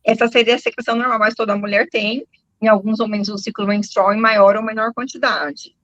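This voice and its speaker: A woman's voice: medium pitch, level tone, fairly quick, fairly bright tone, no room sound, lo-fi sound. A woman gynecologist from São Paulo state, explaining a medical topic and tagging phrases with "né", heard over a video call. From São Paulo state, Brazil. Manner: explanatory, frequent "né" tags. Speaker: gynecologist guest.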